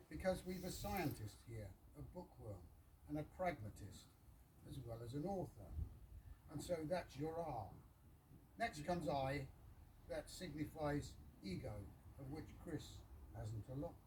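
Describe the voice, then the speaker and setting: A man recites verse to friends, pausing between short phrases and slipping in casual asides. His voice sits a little high. It is captured away from the microphone, with clear noise around it. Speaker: reader of a poem at a birthday gathering. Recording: distant mic with clear background noise.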